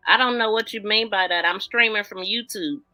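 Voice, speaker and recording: Casting: American woman, informal livestream host, chatty commentary, bright forward voice, faint music underneath. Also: medium pitch, brisk. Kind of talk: casual livestream commentary, reacting to played audio.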